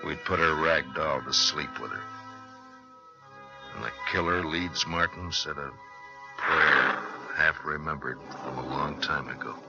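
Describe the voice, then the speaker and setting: A stern American frontier marshal in vintage radio drama. His voice is deep, grave and terse, carrying weary authority. The old recording is dull, with prominent music behind him. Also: a little slow, gravelly, pauses often, full character voice. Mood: somber. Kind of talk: 1950s radio western acting and first-person narration.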